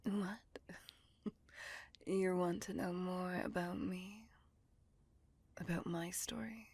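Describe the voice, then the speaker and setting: A woman voicing a centuries-old female vampire in an intimate ASMR roleplay, possessive, doting and teasing, in a soft, breathy, coaxing whisper. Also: on the low side, exaggerated voice. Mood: amused, teasing.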